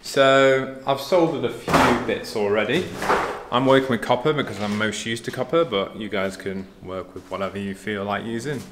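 A man's voice: fairly low pitch, normal speed. A man in his thirties with an English accent, giving a casual, laid-back hands-on DIY plumbing tutorial. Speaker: DIY renovator and plumber demonstrating pipework. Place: indoors, empty room under renovation. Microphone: handheld or propped camera at close range. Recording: echoey bare room, some noise.

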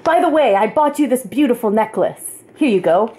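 A woman's voice: a little high, expressive, normal speed, warm tone, a little hiss or hum, natural voice. A woman voicing a stiff, dutiful teenage boy with a formal, declamatory manner in an amateur puppet show. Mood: formal, earnest.

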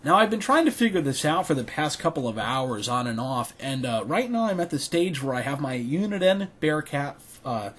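A man giving a casual hands-on tutorial as a video creator, thinking aloud haltingly in a small bedroom. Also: a little high, very animated, fairly bright tone, slightly rough. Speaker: video creator demonstrating radio gear.

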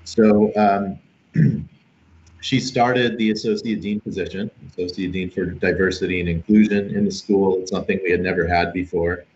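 Deep-voiced man with a cold-scratchy voice. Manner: relaxed, modest, hesitant with fillers. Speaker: guest professor. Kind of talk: casual interview answers. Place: home room. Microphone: video call. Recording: roomy, muffled video call audio.

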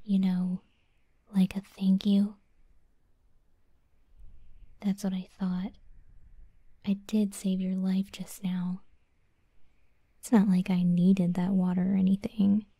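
A woman voicing a brash but begrudgingly kind tsundere scorpion girl in a soft, whispered ASMR roleplay, teasing and slangy, close to the microphone. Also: medium pitch, completely flat delivery, slow pace, pauses often, slightly rough, no echo. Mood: teasing, demanding. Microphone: close, dry ASMR microphone.

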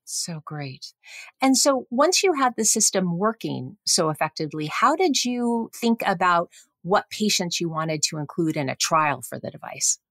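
A middle-aged woman hosts a medical podcast in a fairly low voice. The sound is dry, with no room echo, as she introduces and questions an expert. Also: sing-song, moderate pace, fairly bright tone. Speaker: podcast host, physician and professor. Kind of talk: medical podcast interview hosting.

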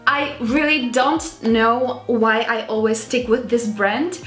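A woman's voice: fairly high pitch, fairly even intonation, takes it slowly. A woman in her twenties with a Czech accent, chatting casually to camera in a rambling, self-mocking way as a video blogger. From Czech Republic. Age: 20s. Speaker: video blogger presenting a clothing haul. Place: small indoor beauty room with vanity. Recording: roomy sound, music underneath.

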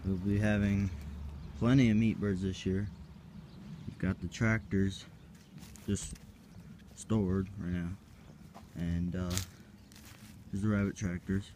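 A man's voice: sits low, flat delivery, slow pace, pauses often, warm tone, slight background noise. A young man giving a rambling, hesitant walkaround tour of his farm outdoors, filming on a handheld phone. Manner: rambling, hesitant, unsure of plans. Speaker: farm vlogger. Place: outdoors on a farm yard and woods.

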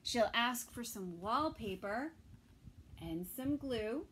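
A middle-aged woman reading a picture book aloud to toddlers, expressively, with pauses between phrases. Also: a little high, expressive, fairly bright tone, slight background noise. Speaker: children's story time reader. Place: small indoor room with bookshelves.

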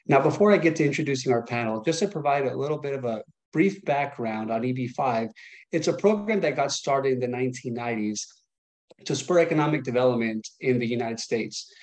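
A man's voice: mid-range voice, a little mellow. A man opens a business webinar as its host. His delivery is polished and presenter-like, with a mid-range voice. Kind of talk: hosting a webinar.

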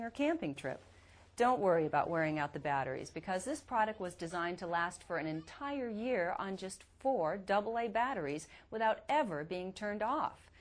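Lower-pitched woman reporter. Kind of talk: scripted promotional report narration.

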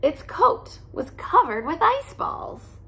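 A woman in her thirties, a teacher, reading a picture book aloud in a soft, cozy way in a living room by a fireplace. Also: very high-pitched, sing-song, slow pace, gravelly.

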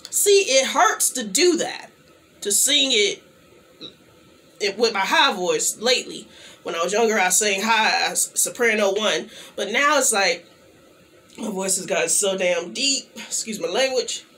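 A young woman chatting casually to the camera in a small room, clearing her throat now and then. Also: medium pitch. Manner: slow, relaxed talk with frequent throat clearing. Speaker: channel host. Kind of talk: casual vlog chat. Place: small indoor room, plain backdrop.

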